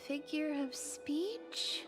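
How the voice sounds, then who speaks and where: Sad gentle fantasy game girl, soft breathy voice a bit high, kinda formal, music under it. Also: expressive, extremely slow, exaggerated voice. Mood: wistful, solemn. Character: solemn, tender young fantasy woman, melancholy and formal. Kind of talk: scripted game voice acting.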